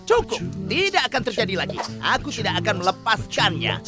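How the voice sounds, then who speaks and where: A man's voice: high-pitched, wildly swooping pitch, fairly quick. A man voicing a boastful, bumbling police inspector in a cartoon, with a pompous, theatrical manner. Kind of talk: exaggerated cartoon dub. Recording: music behind the dialogue.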